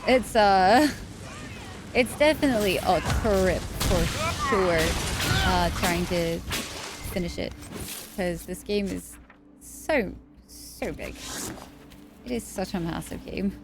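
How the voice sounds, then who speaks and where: A woman's voice: mid-range voice, takes it slowly, pauses often, music in the background. Tired, chatty woman streamer. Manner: chatty, thanks viewers and reads chat aloud. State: tired, late at night. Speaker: game streamer. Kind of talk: casual chat while gaming live.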